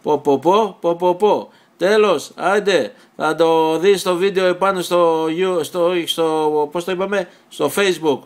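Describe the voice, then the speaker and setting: Man, slightly high voice, amateur radio operator, informal banter, radio transmission. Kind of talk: casual ham radio banter.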